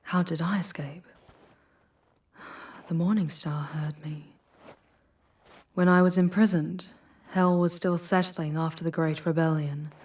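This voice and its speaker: A woman performs an ancient demoness for an ASMR roleplay, proud yet wounded. Her voice is low, breathy and husky, often sinking toward a whisper. She speaks very slowly, with formal, solemn deliberation as a guide through the underworld. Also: fairly even intonation, darkish tone. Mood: bitter, hushed, then grave, recounting.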